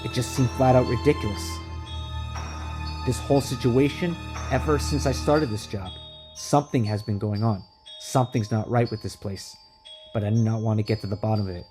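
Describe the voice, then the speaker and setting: A man narrating a first-person horror story in a casual, expressive, conversational way. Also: medium pitch, moderate pace. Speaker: creepypasta narrator. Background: music under the voice.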